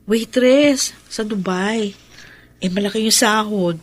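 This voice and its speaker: A woman's voice: mid-range voice, slow. A woman playing a shy, earnest Filipina wife working abroad, in radio drama style with a Filipino accent. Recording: radio drama with music behind.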